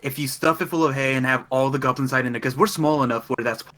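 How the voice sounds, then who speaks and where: A man's voice: mid-range voice, steady tone, fast, fairly bright tone. A man chatting casually as a player in a tabletop game. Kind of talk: casual group game chat. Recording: faint music under the voice.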